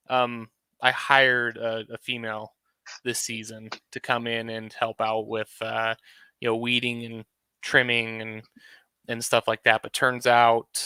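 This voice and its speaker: Bright-toned man, casual video-call talk. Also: mid-range voice, clear, resonant tone, no echo. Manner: conversational, with frequent fillers. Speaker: landscaping business owner. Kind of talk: casual panel discussion.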